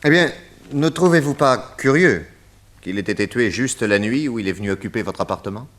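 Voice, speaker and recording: A man playing a shrewd, courteous private detective in a radio serial, speaking in a deliberate, inquisitive, polite manner. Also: medium pitch, animated, a bit bright, lightly acted. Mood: probing, composed. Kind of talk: old French radio detective serial acting.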